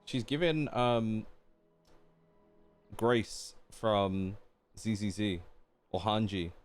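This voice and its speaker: A man's voice: on the low side, extremely slow, soft background music. A man doing casual live game commentary as a streamer, informal, slangy and playful.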